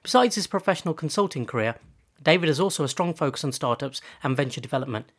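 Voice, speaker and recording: A man's voice: a little high, lively intonation, quick pace. A man hosting a podcast interview, talking in a chatty way and often restarting his phrases. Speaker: podcast host.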